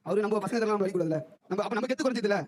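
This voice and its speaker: A man's voice: high voice, racing, very noisy. A young man talking boastfully as a talk show guest. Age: young adult.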